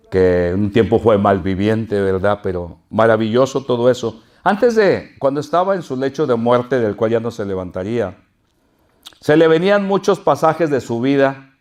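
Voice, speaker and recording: A man's voice: fairly low pitch, darkish tone. An older man with a northern Mexican accent, a pastor preaching and telling a folksy personal story with chuckles. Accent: Mexican Spanish, northern.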